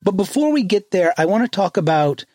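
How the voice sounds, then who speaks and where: A man hosting an interview, asking friendly, inquisitive questions at a measured pace. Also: high-pitched, very animated, a little mellow, no echo, studio quality. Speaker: interviewer host.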